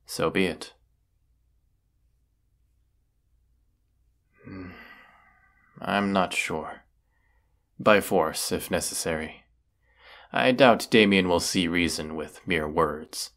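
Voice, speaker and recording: Man acting a low, gravelly brooding wizard. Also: fairly bright tone, exaggerated voice. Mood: cold, menacing. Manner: stern, formal, deliberate delivery. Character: brooding villainous wizard of the woods, secretly lovelorn. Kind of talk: fantasy audio roleplay acting.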